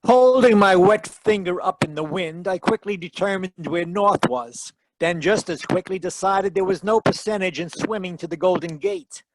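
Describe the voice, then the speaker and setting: A man playing a wry, deadpan hardboiled detective who narrates his case in 1940s radio style. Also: high-pitched, normal speed, hardly any pauses, lightly acted, poor audio. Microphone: close home mic on a video call.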